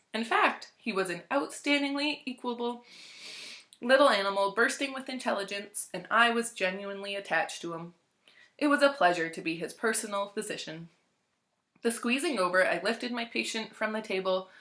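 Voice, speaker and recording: A woman narrates a story for listeners in an expressive read-aloud style. Her voice is bright and medium in pitch. She phrases each line clearly and at a measured, storytelling gait. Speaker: library storytime reader.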